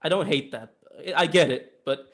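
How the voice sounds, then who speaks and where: A man's voice: a little high, normal speed, a bit bright. A man giving a casual, opinionated film review in informal, sweary conversation. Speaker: film reviewer on a two-person show.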